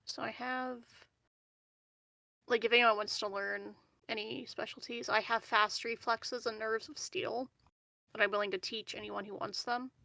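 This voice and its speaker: The woman at an online game night checking her character sheet, high-ish voice with a crackle of fry. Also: steady tone, unhurried, bright, forceful tone, no echo. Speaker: player in a tabletop roleplaying game. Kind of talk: casual game chat.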